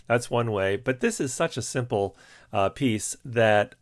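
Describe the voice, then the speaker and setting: A male music teacher walking through a software tutorial in calm, step-by-step instructions, with frequent "uh" and "um" fillers. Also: medium pitch, a little slow, no room sound. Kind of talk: screen-recorded software tutorial.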